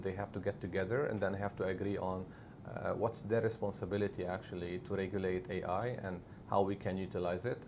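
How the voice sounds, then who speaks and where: A middle-aged man whose first language is Arabic speaks in a low, gravelly voice. He talks emphatically and keeps checking in with "right?". Faint noise sits under the speech. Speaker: media executive guest. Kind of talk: conversational interview answers.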